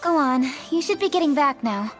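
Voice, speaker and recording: A woman voicing a proper, principled young noblewoman in a video game, speaking in a crisp, formal, matter-of-fact way. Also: high voice, animated, a little fast, faint music behind. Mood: firm, proper.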